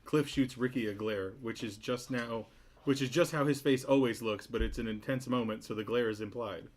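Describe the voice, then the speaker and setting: A man's voice: medium pitch, normal speed. A man reading stage directions as narrator of a sitcom table read.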